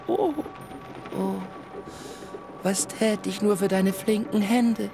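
A man's voice: sits high, animated, very slow, gravelly, over music, exaggerated voice. A man playing a creepy, nervous circus clown in a radio drama, stammering and giggly. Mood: creepy, giggling.

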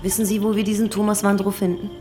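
A woman's voice: medium pitch, little pitch movement, quick pace, music in the background, natural voice. An actress playing a shrewd, matter-of-fact female police detective in a naturalistic radio crime drama. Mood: dry, probing.

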